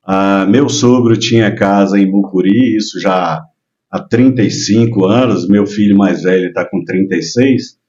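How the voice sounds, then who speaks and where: A man in his sixties from Minas Gerais, a guest telling his life story in relaxed, casual chat with frequent hesitations. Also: deep voice, measured pace, highs cut off. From Minas Gerais, Brazil. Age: 60s. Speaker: guest, local businessman.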